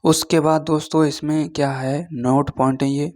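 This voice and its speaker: Man teaching in a flat, even tone. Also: fairly high pitch. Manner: repeatedly checks in with short tag words; switches between Hindi and halting read-out English. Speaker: online class teacher. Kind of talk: informal explainer lesson reading through a job notification.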